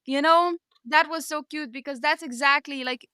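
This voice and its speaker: A woman's voice: sits high, fairly even intonation, moderate pace, sharp tone, dry recording, studio quality. A woman co-hosting a casual book discussion, gushing and enthusiastic, with lots of conversational filler phrases. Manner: gushing, enthusiastic, peppered with 'like' and 'you know'. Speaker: podcast co-host.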